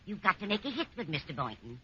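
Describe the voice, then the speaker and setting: A woman's voice: lowish voice, big pitch swings, fast, bright, forceful tone. An actress playing an unflappable, fondly meddling older landlady who coaxes and reassures in an old radio sitcom. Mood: insistent, cheerful. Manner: reassuring, coaxing. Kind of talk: 1940s-50s radio sitcom acting. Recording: dull, noisy old-time radio recording.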